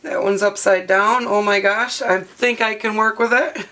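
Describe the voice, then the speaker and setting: A hoarse woman in her thirties, her voice partly lost, chatting casually with many fillers as she talks through a craft tutorial at her home studio desk. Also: medium pitch, steady tone, normal speed, pushed and bright. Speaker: craft video host. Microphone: overhead camera mic, speaker off-frame.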